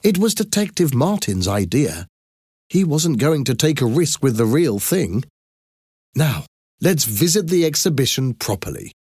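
A man acts out an excitable young woman from a storybook, determined and cheerful. The voice is raised in pitch and swings eagerly with emphatic stress, in a dry, close sound. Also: unhurried, breathy edge, dry recording. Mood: matter-of-fact, upbeat.

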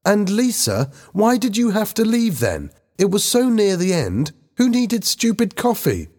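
A man's voice: mid-range voice, sing-song, normal speed, warm tone, pitched above the performer's own voice. A man voicing an angry, defensive teenage schoolgirl in a story, indignant and exclaiming.

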